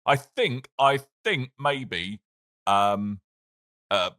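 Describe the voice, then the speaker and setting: Jokey Brummie man, lively pitch. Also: extremely slow, dry recording, clean studio sound. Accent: Birmingham. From England. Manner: jokey, playful banter. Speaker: podcast host. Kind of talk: casual chat.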